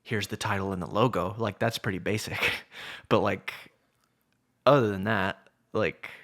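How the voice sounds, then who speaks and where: A man with a fairly low voice in casual podcast conversation. He talks haltingly and informally, like a co-host thinking out loud about films. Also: fairly even intonation. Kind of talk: casual chat.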